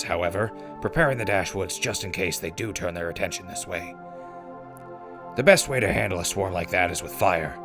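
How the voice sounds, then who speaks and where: A man playing a wry post-apocalyptic radio host, reading scripted news in a folksy, measured, conversational way. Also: sits low, gravelly.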